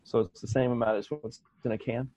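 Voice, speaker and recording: An American man co-hosting a podcast in casual chat, halting with many restarts and fillers. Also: medium pitch, little pitch movement, mellow, dry recording. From United States. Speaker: podcast co-host.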